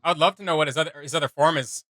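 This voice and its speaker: A man's voice: a little high, rapid-fire, background noise. A man hosting a reaction video, commenting casually in an amused, rambling way.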